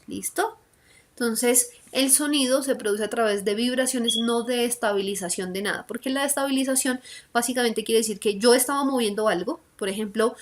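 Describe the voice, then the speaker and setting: A woman with a Colombian accent teaching a school lesson in an informal, conversational way, explaining step by step and often checking for understanding. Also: on the high side. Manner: didactic, conversational, checks understanding often with short tag questions.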